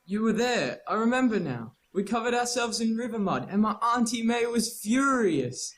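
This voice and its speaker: A man's voice: extremely high pitch, sing-song, moderate pace, fairly bright tone, heavily acted. A man playing a chatty, mischievous Australian schoolboy in a nostalgic radio play. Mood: puzzled. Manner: chatty, teasing. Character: cheeky, talkative schoolboy, eager and mischievous.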